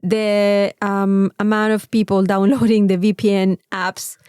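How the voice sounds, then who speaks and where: A woman's voice: mid-range voice, level tone, unhurried, a little mellow, clean tone, no room sound, studio quality. A woman with a Mexican accent, co-hosting a casual podcast chat in an informal, rambling, sweary way. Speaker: podcast co-host.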